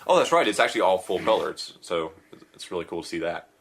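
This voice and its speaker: A man's voice: sits low, big pitch swings, fast, a crowd in the background. A man hosting a podcast, chatting in an upbeat, joking, rambling way about a web comic. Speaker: podcast host. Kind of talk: casual podcast chat.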